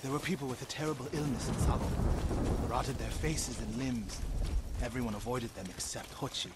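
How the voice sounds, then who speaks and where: An actor playing an earnest warrior monk, grieving yet resolute, speaking in a solemn, measured, intense way for a video game drama. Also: male voice, mid-range voice, steady tone, background noise, lightly acted. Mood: bitter, earnest. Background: game music.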